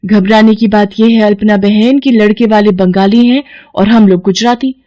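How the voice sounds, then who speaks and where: A woman's voice: medium pitch, fairly even intonation, moderate pace, warm tone, dull audio, voice pushed up, close to an everyday voice. A woman voicing a flustered, anxious middle-aged neighbour in a family story.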